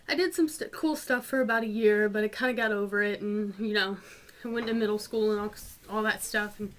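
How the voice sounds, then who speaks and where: A woman's voice: a little high, a bit bright. A woman in her twenties with a North Georgia mountain Southern twang, chatting casually and answering viewer questions in a vlog. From North Georgia, USA. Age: 20s. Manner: chatty, rambling, frequent ums and little laughs. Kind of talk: casual chat.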